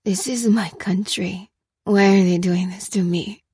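A woman's voice: lowish voice, level tone, slow pace, mellow, breathy edge, no echo, studio quality, exaggerated voice. A woman voicing an exhausted, sick male major held prisoner in an audiobook, slurring and struggling over words in pain. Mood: desperate, pleading. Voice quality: slurred, effortful. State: weak and in pain.

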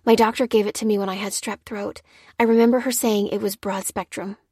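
A woman's voice: medium pitch, steady tone, brisk, a little mellow, slightly rough, no echo, clean studio sound, natural voice. A woman voicing an outspoken, indignant young female customer in an audiobook. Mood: hushed. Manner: incredulous, seething, blurting.

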